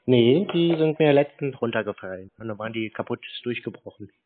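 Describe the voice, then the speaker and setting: A man chatting casually on a call, deadpan and laconic, sounding naive. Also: mid-range voice, fairly quick, crowd noise. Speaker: prank scam-baiter playing a customer. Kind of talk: casual phone conversation. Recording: muffled narrow phone-like sound.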